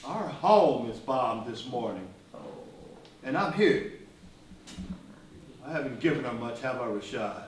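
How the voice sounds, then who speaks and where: Guy playing a weary earnest activist leader in a stage play, measured pauses, far from the mic w some noise. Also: medium pitch, lively intonation, close to an everyday voice. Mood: weary, somber. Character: Malcolm X, earnest, teasing, weary male civil rights leader. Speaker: stage actor. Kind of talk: live stage play acting. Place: indoor stage performance, distant microphone. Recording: echoey hall from far off, noisy.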